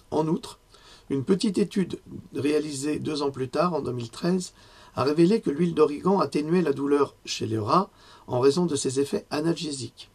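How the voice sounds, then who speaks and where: A man speaks with a hoarse, husky voice at an ordinary middle pitch. He delivers a lecture in a loose, conversational way. He pauses often with fillers and corrects himself as he goes. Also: a little mellow. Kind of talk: health lecture with personal asides.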